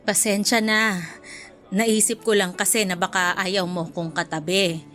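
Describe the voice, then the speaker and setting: A woman playing a kindly, apologetic older student in a radio drama, speaking in a gentle, motherly and patient way. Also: mid-range voice, flat delivery, measured pace, lightly acted. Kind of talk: radio drama acting in Filipino. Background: music bed.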